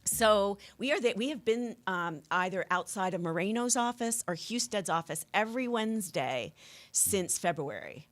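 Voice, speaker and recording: A woman's voice: mid-range voice, expressive, normal speed. An American woman speaking conversationally as an activist guest in a radio interview, with an encouraging manner. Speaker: guest, activist and voter registration organizer.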